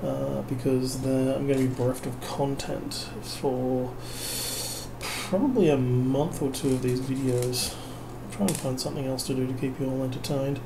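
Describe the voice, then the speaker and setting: A tired man talks in a loose, self-mocking ramble full of fillers. His voice sits at an ordinary pitch with a gravelly fry. Clear noise hangs behind him in a home recording made at night. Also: steady tone, normal speed. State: tired, unable to sleep. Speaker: vlogger. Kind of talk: casual late-night rambling while building a kit.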